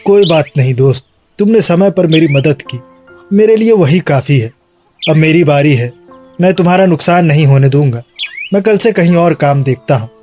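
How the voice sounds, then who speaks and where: A man playing a poor, loyal farm worker, meek and sincere, in an animated moral tale. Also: a little high, normal speed, faint music behind, natural voice. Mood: resigned, kind. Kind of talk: animated moral bedtime story.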